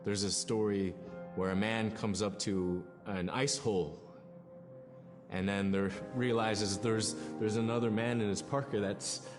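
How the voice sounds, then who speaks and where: Low-voiced man in long reverb, reflective. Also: fairly even intonation, unhurried. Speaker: cultural storyteller, documentary interviewee. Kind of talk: reflective documentary interview storytelling. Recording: long reverb, clear music behind. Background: music.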